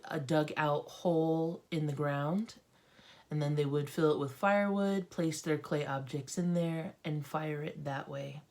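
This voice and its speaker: A woman's voice: low voice, a little mellow. A woman with an American accent reading a storybook aloud as an art teacher, with informal, encouraging asides to children.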